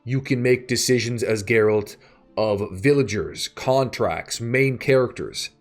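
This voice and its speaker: Man, scripted video essay narration, medium pitch, slow pace, measured phrasing, short pauses. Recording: music bed behind the voice. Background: background music.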